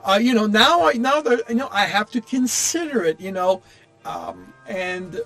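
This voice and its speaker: A man chatting casually while playing a game, explaining his thoughts in a halting, rambling way. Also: sits high, sing-song, fairly bright tone. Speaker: game streamer. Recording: game music faintly behind the voice. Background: faint video game music.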